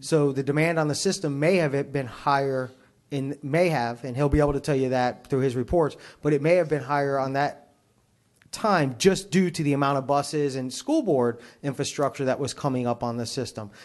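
A man with a mid-range voice speaks as a fire chief at a public meeting. He explains his points formally and in measured phrases, answering questions put to him. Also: mid-range voice, steady tone, darkish tone.

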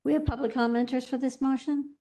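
A woman, the clerk of a public meeting, asking brisk clarifying questions. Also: high-pitched, steady tone, warm tone. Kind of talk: procedural check at a meeting.

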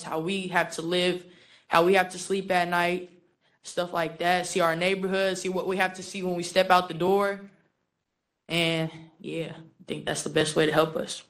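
A young woman speaks informally about her own experience. Her delivery is casual and halting, peppered with "like" as she searches for words. She sounds like a guest giving personal testimony. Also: on the low side, a bit bright. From born in the Philippines, raised in San Francisco from age eight. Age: young adult.

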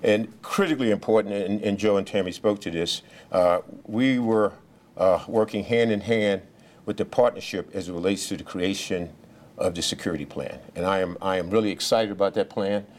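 A police official giving remarks at a press briefing, speaking loudly and haltingly, with many fillers and restarts. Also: male voice, lowish voice. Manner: speaks loudly without a mic.

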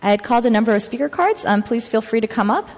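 A woman's voice: on the high side, lively intonation, fast talker, darkish tone. A woman moderating public comment as chair of a hearing. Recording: echoey hall, long reverb.

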